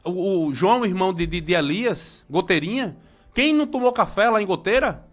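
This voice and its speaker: A high-voiced man in his forties from Brazil talks in a loose, rambling way, repeating words and stopping to greet friends. His talk is nostalgic and chatty. Soft music plays faintly behind him. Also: animated, moderate pace. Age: 40s. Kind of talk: casual local commentary.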